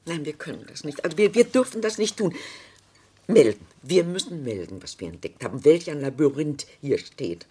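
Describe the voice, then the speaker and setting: Anxious, husky young woman. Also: deep voice, sing-song, normal speed, dark tone, heavily acted. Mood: fearful, pleading. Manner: hesitant, troubled, theatrical. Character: anxious, conscience-stricken young woman grieving her brother. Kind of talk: radio play acting.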